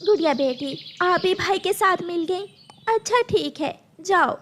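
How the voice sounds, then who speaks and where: A woman's voice: very high-pitched, a little slow, fairly bright tone, a little hiss or hum, pitched above the performer's own voice. A woman voicing a weary, poor mother sparrow scolding her children in a cartoon. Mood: weary, giving in.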